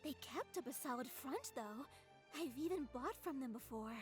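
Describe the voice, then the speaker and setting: Gossipy townswoman, fairly high, chatty, faint music. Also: expressive, normal speed.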